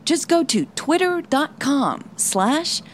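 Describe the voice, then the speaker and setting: A woman hosting a podcast and narrating a romance story in a calm, measured, scripted reading. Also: high-pitched, a little hiss or hum.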